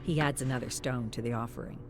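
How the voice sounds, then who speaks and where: Mid-pitched narrator reading a scripted story. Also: steady tone, fast, music in the background.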